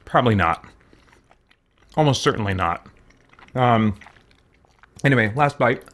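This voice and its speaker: A man with a mid-range voice hosts a game livestream in an informal, chatty way. He rambles and thinks out loud as he talks. Clear noise sits behind his voice. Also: medium pitch, expressive, a little slow. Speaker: live streamer hosting a game playthrough. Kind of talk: casual livestream chat.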